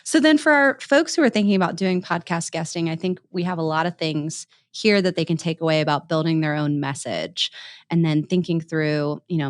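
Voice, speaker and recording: Emphatic woman hosting a podcast, lowish voice. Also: no room sound, studio quality. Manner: candid, emphatic, punches key words. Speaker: podcast host. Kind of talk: conversational interview.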